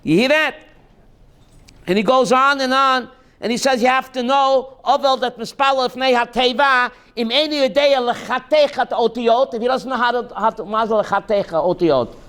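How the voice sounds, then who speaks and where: A rabbi teaching a class, reading text slowly and then explaining it in while quizzing his students. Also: very high-pitched, fairly bright tone, clean tone. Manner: reads Hebrew text aloud slowly, then explains in English, quizzing listeners.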